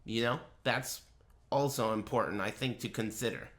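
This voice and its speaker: A man's voice: mid-range voice, normal speed, heavy background noise. A man streaming a video game live, giving casual, offhand commentary with a sardonic, profane edge.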